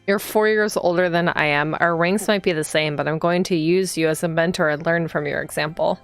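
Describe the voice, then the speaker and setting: A woman livestreaming a visual novel, reading the game text aloud and chatting casually as she thinks out loud and reacts to the story. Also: on the low side, steady tone, brisk. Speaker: streamer playing a visual novel. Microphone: streaming microphone. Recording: background music behind the voice. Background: game music.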